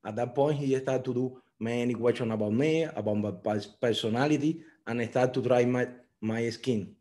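A young man with a Cuban Spanish accent speaks in simple, earnest learner English, his voice dark and mellow. He is heard over a video call, mid-pitched and steady. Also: moderate pace. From Cuba. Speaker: software engineer guest. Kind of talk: online panel talk.

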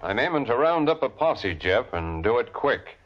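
An actor playing a plain-spoken, resolute sheriff in an old-time radio western. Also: male voice, medium pitch, very animated, normal speed, faint music behind, lightly acted. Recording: muffled vintage radio recording.

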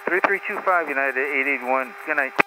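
A male airline pilot speaks in short, formulaic radio calls. His voice sits at a middle pitch, heard through an aircraft radio with clear noise around it. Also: fairly quick. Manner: clipped, formulaic phrasing. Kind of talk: radio callouts to air traffic control. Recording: noisy aircraft radio transmission.

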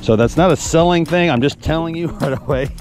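A man's voice: on the high side, a little fast, a little mellow. A man talking casually and folksily to viewers as a fishing guide and host, recorded outdoors on a boat with an action camera. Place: outdoors on a small fishing boat on an open, windy lake. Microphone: action camera worn on the body.

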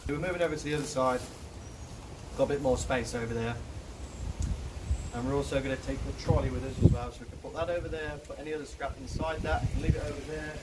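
A man, chatting casually to camera as a vlog host outdoors. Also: medium pitch. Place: outdoors on a riverbank. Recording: noisy outdoor camera audio.

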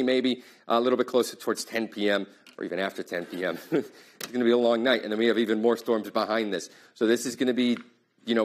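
A young man, a TV meteorologist, giving brisk, emphatic live storm updates in a studio on a lapel mic. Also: mid-range voice. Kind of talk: live severe weather coverage. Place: TV studio in front of a weather wall.

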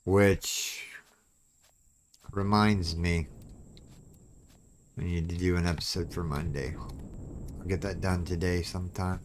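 Deep-voiced man narrating his gameplay. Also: steady tone, takes it slowly, pauses often, noisy background. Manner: chatty, talks to viewers while playing. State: throat clogging, clears throat. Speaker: gaming channel host. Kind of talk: casual gameplay commentary.

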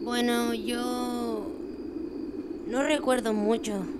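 A woman's voice: medium pitch, measured pace, noisy background, close to an everyday voice. A woman with a Latin American Spanish accent voicing a young, hesitant but plucky girl bat pony in a cartoon comic fan dub. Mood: hesitant, recalling. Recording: music under the voice.

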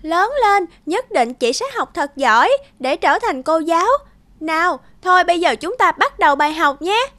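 A woman's voice: very high voice, animated, moderate pace, fairly bright tone, no echo. A woman voicing a caring, slightly bossy big-sister girl in a children's cartoon, playful and instructive. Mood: eager, teacherly. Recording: music under the dialogue.

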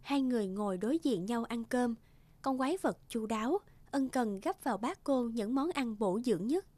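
High-pitched woman narrating a fairy tale. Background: music.

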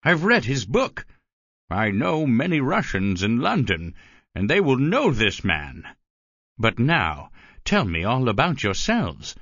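A man voicing a gentle, polite old gentleman in a storybook reading, slow and courteous. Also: medium pitch, wildly swooping pitch, slightly rough, no echo, lo-fi sound, voice pushed up, exaggerated voice. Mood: kindly.

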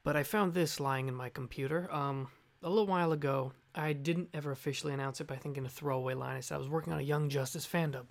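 A man's voice: on the high side, fairly even intonation, quick pace, gravelly, hardly any pauses. A young man giving a casual, unscripted channel update, rambling a little in a hesitant, apologetic way. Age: young adult. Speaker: channel host and fandub director.

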